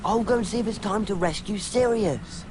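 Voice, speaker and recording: A man's voice: high voice, moderate pace, very noisy, natural voice. An actor voicing a brave, determined teenage boy wizard in a video game, earnest with bursts of urgency. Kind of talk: video game cutscene acting.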